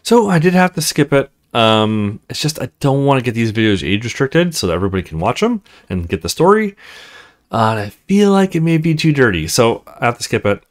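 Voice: mid-range voice, big pitch swings, a little fast. A let's play host talking casually while commenting on a game.

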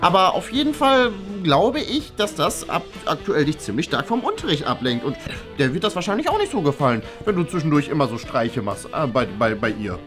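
Man acting as a wordy, hesitant schoolteacher with lively intonation. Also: on the high side, fairly quick. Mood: tactful, amused. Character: talkative, diplomatic male schoolteacher gently airing complaints. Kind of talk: improvised comedy sketch acting. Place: small bright classroom-like office, indoors. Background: background music.

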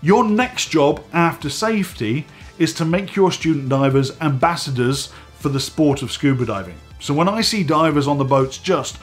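A man in his forties hosting a casual vlog from a home studio, slightly hoarse and stuffy from a head cold, chatty and animated as he talks to the camera. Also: a little high, normal speed, faint music behind. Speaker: host. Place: home studio room with dive gear on shelves.

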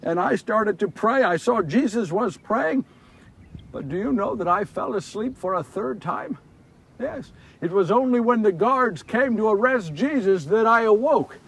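A man's voice: high-pitched, husky, heavily acted. A man playing Simon Peter as a big, remorseful fisherman disciple, telling his story in an earnest, confessional, theatrical dramatic monologue. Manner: earnest, confessional, theatrical, swinging from hushed to shouting. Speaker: solo storyteller actor. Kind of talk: first-person dramatic monologue of a Bible story. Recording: distant microphone.

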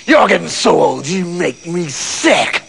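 A man's voice: fairly high pitch, very animated, unhurried, creaky, fairly bright tone, slight background noise, pitched below the performer's own voice, heavily acted. A man voicing a horrible, gloating farmer in a children's story, taunting and menacing.

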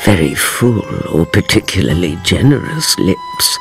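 A woman's voice: very deep voice, sing-song, a little slow, over music, full character voice. A woman playing a sly, knowing narrator in a radio drama, theatrically savoring each literary phrase.

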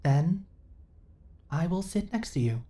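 A woman's voice: fairly low pitch, takes it slowly. A woman playing a female companion android in an ASMR audio roleplay, speaking in a stilted, literal, formal way, earnest and eager to serve. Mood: flat, hesitant. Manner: stilted, formal, literal, emotionally flat delivery.

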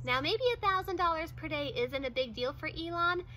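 A woman in her thirties chats straight to the camera in a loose, rambling way, full of "like" and small laughs. Her voice sits high and sounds bright and crisp. A faint outdoor hiss lies behind her. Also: brisk. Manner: chatty, many "like"s and small laughs. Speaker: vlogger. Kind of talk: casual talk to camera. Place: outdoors in front of a wooden fence.

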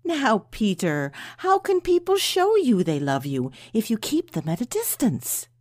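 Lady doing sweet old aunt, fondly chiding, really expressive pitch, dry close sound, comic dub. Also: unhurried, dry recording, full character voice. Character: gentle, wise elderly aunt. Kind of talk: amateur comic dub acting.

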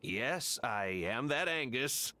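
A vain, chummy village baker in a cozy video game. He sounds smug and self-satisfied, with a bright forward tone and animated pitch. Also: slow pace, no room sound. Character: vain, chummy baker proud of minor TV fame. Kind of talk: video game character acting.

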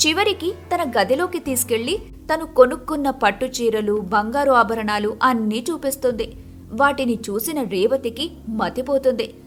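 A woman's voice: on the high side, normal speed, soft background music. A woman narrator reading a scripted moral tale in storytelling style.

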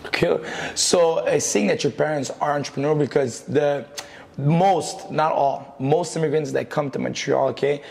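A man's voice: a little high, moderate pace, vocal fry, darkish tone. A man hosting a studio interview, asking questions casually and rephrasing them as he goes. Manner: conversational, rephrases his questions. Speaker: host. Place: studio set with plant wall and neon sign.

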